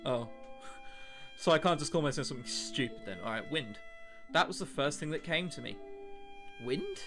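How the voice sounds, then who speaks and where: A man's voice: fairly high pitch, expressive, moderate pace. A man doing casual, sarcastic, mildly sweary commentary as he plays and reads out a visual novel, with game music behind him. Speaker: let's player. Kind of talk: casual joking gameplay commentary, reading visual novel text aloud.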